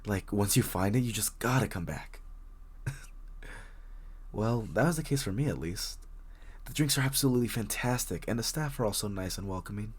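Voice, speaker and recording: A man playing a very shy, friendly young writer in an intimate coffee shop roleplay, speaking hesitantly with nervous stammers and a husky voice. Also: lowish voice, lively intonation, moderate pace, long pauses. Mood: warming up, friendly. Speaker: audio roleplay voice actor. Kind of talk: intimate one-sided roleplay acting, casual chat.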